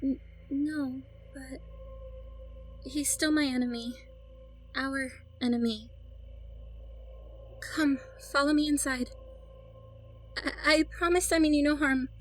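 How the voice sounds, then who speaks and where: Woman acting a high-voiced, guilt-ridden vampire mother. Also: steady tone, faint music behind. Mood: wary, earnest. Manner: hesitant, halting, pleading. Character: guilt-ridden newly turned vampire mother, pleading, grieving. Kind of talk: cinematic audio roleplay acting.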